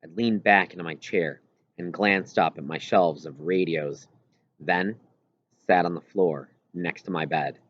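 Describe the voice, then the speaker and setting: A man's voice: sits low, measured pace. A man reading a first-person story aloud as scripted audiobook narration. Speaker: audiobook reader. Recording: roomy echo, slightly dull lo-fi sound.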